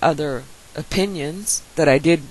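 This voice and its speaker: A woman's voice: low-pitched, measured pace, a little mellow, husky, rough recording. A woman with a very nasal voice, talking to her viewers in a casual, meandering vlog monologue. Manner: meandering, speculative talk. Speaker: YouTube commentator replying to viewers.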